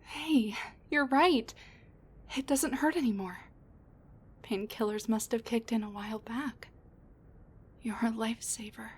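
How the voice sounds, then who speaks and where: A woman playing a sassy, rambling wounded supervillain with a soft side, talking intimately to the listener in a roleplay audio drama, with breathy asides and sighs. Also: on the high side, measured pace, long pauses. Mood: teasing.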